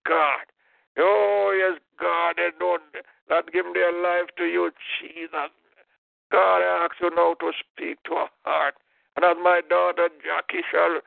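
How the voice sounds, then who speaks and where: A man's voice: a little high, gravelly, no room sound. A man leading a fervent intercessory prayer, impassioned, repeating "oh God" and "in the name of Jesus". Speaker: prayer leader. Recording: tinny phone-line prayer call.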